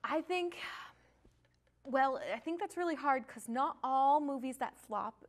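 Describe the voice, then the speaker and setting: A woman's voice: sits high, a little slow. A woman chatting informally and enthusiastically, like a fan, as a guest co-host. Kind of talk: casual chat.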